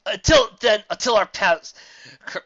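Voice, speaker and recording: A woman's voice: mid-range voice, animated, measured pace, fairly bright tone, pitched above the performer's own voice. Woman acting bombastic male mad scientist villain. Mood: pompous. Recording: dull lo-fi recording.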